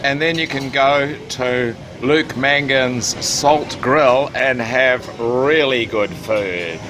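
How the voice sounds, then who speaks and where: A man's voice: mid-range voice, slow, noisy background. A man filming his cruise, chatting and joking as he interviews fellow passengers. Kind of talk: casual travel vlog narration.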